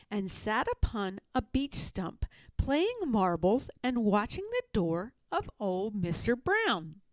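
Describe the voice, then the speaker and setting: A woman narrating a children's storybook aloud in an expressive, measured read-aloud manner. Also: mid-range voice, no echo, poor audio. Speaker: storybook reader.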